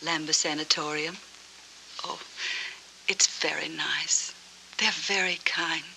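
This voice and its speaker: Lonely, wistful woman, bright-voiced 1940s noir. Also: low voice, fairly even intonation, a little hiss or hum, heavily acted, poor audio. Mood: wistful, distant. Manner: halting, dreamy, melancholy delivery with breathy hushed phrases. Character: lonely, wistful woman with a fragile, hazy memory. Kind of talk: 1940s film noir acting. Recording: old 1940s film soundtrack, music behind.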